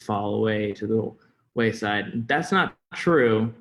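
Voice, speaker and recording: A man's voice: fairly low pitch, animated, slow, fairly bright tone. A young American man with a stutter talking candidly and informally as an interview guest, hesitating and repeating words. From Florida, United States. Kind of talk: candid personal interview talk.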